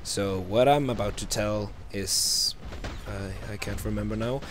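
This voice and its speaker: A man's voice: fairly low pitch, a little slow. A young man from Norway, casually telling stories in a joking, giggly, informal way. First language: Norwegian. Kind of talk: casual chat, storytelling. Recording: noisy amateur mic, clicks.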